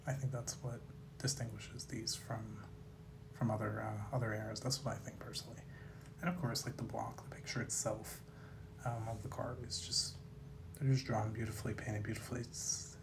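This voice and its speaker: A man with a slightly raspy voice chats casually to viewers as a host, musing in a laid-back way and trailing off mid-thought. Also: medium pitch, noisy background. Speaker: host of a card collecting video series. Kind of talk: casual rambling commentary to viewers.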